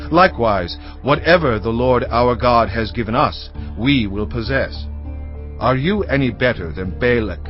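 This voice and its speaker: An actor playing a proud, aggrieved Israelite war leader in a dramatized audio Bible, declaiming emphatically. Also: male voice, mid-range voice, lo-fi sound, close to an everyday voice.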